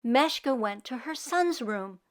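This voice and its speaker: A woman narrating a children's storybook expressively, with deliberate dramatic emphasis. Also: on the high side, expressive. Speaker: read-aloud storyteller for children.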